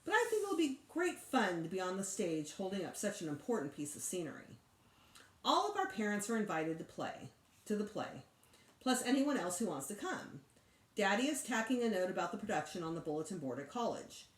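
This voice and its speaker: A woman reads a children's story aloud as a teacher would. Her intonation swings in a lively, expressive way at a middling pitch. The room adds a clear echo around her voice. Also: fairly quick. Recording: roomy echo.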